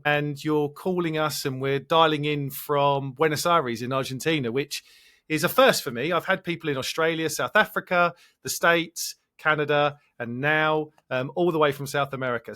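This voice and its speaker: A man's voice: on the high side, sharp tone. A man hosting a podcast interview, enthusiastic and asking long, rambling questions. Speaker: podcast host.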